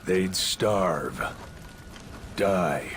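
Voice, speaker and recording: An actor playing a blunt, aggressive warrior henchman with a gravelly voice in a video game cutscene. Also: deep voice, extremely slow, slight background noise. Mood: gruff, challenging. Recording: loud background ambience.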